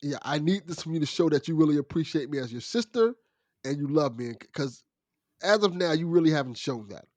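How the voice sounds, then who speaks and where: A man's voice: fairly high pitch, animated, fairly quick, darkish tone, dry recording. A man in middle age talking as a guest in a casual podcast discussion. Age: 40s or older.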